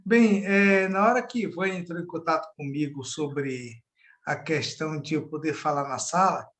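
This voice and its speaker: A man's voice: fairly high pitch, normal speed, fairly bright tone. Middle-aged man, pastor leading a casual Bible study over a call, conversational. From Salvador, Bahia, Brazil. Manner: chatty, often ends with a tag question. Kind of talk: informal Bible study talk. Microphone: video call.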